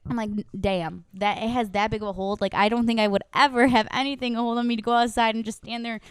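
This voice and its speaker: A young woman in her twenties chats on a podcast with a smooth, resonant voice. She talks in a bubbly, rambling way, full of "like". Her voice is close and dry, with no room sound. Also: fairly high pitch, steady tone, quick pace, dry recording. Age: 20s. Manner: bubbly, chatty, many "like" fillers. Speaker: podcast co-host. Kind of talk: casual chat.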